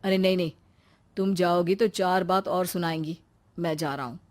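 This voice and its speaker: A woman's voice: fairly low pitch, fairly even intonation, moderate pace, darkish tone, lightly acted. A woman voicing a protective young married son in a cartoon story, earnest and protesting. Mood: soothing. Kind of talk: cartoon moral-story acting.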